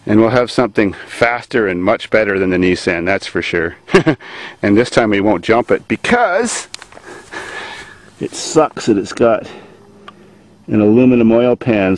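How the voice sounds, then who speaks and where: A man giving a laughing, excitable running commentary from a handheld camera while driving a car on gravel farm roads and working on it outdoors. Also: lowish voice. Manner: excitable, laughing, crude joking. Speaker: farm vlogger. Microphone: handheld camera mic. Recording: loud engine and road noise. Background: roaring engine.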